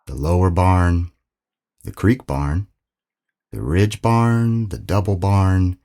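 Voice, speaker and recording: An actor playing an earnest seventeen-year-old farmhand who narrates in a reflective, plainspoken way, as in a scripted audio drama. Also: male voice, low voice, takes it slowly, warm tone. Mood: wry, reflective. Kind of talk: scripted first-person narration.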